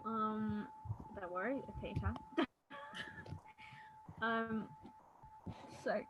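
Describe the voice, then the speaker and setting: A woman's voice: medium pitch, fairly even intonation, drawn-out words. A tired woman hosting a casual livestream chat, talking in a self-deprecating way. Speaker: book channel host. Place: video call. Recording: clearly noisy background.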